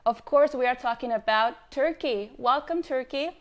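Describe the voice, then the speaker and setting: A woman's voice: high voice, moderate pace. A woman hosting an online student showcase, announcing each country. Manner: announcing, welcoming. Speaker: event host. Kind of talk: scripted hosting.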